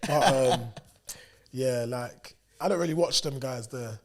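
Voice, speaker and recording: A young man, a personal trainer co-hosting a podcast, talking in a slangy, animated way and often repeating himself. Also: medium pitch, unhurried. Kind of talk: casual chat.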